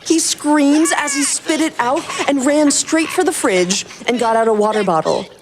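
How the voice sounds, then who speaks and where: A woman's voice: high voice, moderate pace, heavy background noise. A woman reading scripted story narration expressively as a podcast host.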